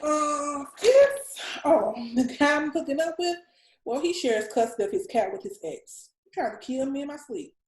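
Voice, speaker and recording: A woman's voice: sits high, lively intonation, moderate pace, echoey room, a little hiss or hum, poor audio. A woman playing a crude, funny, slangy babysitting friend in a comedy script table read. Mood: animated. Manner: blunt, slangy, sassy.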